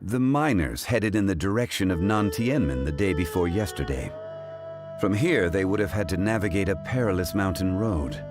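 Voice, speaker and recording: A man playing a composed, dignified and scholarly gentleman in a video game, speaking formally and with deliberation. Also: deep voice, lively intonation, music in the background. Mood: grave, pensive. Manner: formal, measured phrasing, deliberate. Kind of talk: video game character acting.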